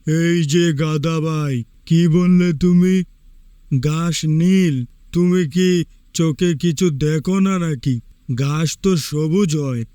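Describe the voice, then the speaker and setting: A man's voice: a little high, slow pace, mellow, clean tone, dry recording. A man playing a proud, indignant tiger in a cartoon fable, scolding with emphasis. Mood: astonished. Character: proud, indignant male tiger, wise and powerful.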